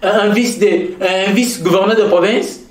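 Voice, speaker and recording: Congolese guy with a pretty high voice, formal and earnest, repeats himself for emphasis, echoey room. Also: fairly even intonation. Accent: Congolese French. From Kasaï-Central, DR Congo. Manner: deliberate, repeats key phrases for emphasis, with frequent hesitations. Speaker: interviewee, commenting on provincial politics. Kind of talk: formal, earnest public commentary.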